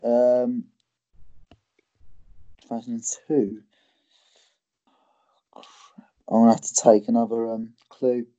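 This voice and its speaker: A man's voice: medium pitch, flat delivery, slow, long pauses, warm tone, muffled recording. A man playing a quiz, thinking aloud with hesitant ums. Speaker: quiz contestant. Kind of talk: casual quiz game chat.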